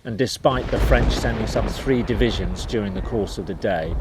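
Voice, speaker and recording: A man's voice: fairly low pitch, moderate pace, heavy background noise. A man reading scripted narration for a historical documentary.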